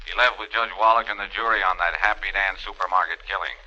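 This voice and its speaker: A man's voice: lowish voice, fairly even intonation, quick pace, bright, forceful tone, highs cut off. An actor playing a stolid, laconic, slightly baffled police desk man through a telephone filter in old radio drama. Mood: matter-of-fact. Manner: laconic, matter-of-fact. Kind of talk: 1940s-50s radio drama acting.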